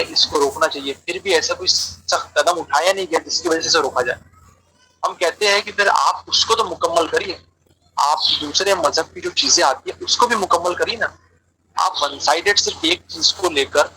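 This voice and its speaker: A man's voice: a little high, sharp tone, slight background noise, rough recording. A man giving a religious lecture on an online call, explaining terms and repeating phrases for emphasis. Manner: explanatory, translates key terms as he goes. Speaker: religious speaker answering questions.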